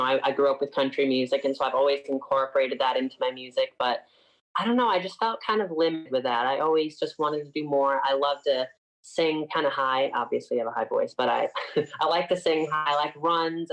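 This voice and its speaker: A man's voice: medium pitch, fairly bright tone, low-quality recording. A man in his twenties from upstate New York, a singer chatting casually as an interview guest and filling his talk with frequent "like"s.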